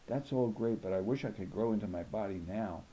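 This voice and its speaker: A man reading a children's novel aloud in an expressive storytelling style. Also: on the low side, fairly quick, a little hiss or hum, rough recording. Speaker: audiobook reader.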